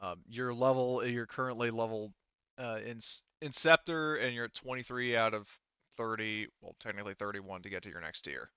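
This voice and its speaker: Bright-voiced man casually explaining game rules. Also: mid-range voice, normal speed, smooth, no room sound, muffled recording. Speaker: game master.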